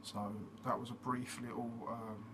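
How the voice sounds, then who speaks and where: A man hosting his own channel, giving a casual explanatory monologue in a steady way, with frequent fillers. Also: mid-range voice, a little slow. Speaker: channel host. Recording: noticeable background noise.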